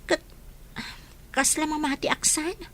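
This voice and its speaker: A woman's voice: high voice, measured pace, fairly bright tone, a little hiss or hum. A woman with an Ilocano accent playing a gossipy, sympathetic young village friend in a radio drama, confiding and insistent.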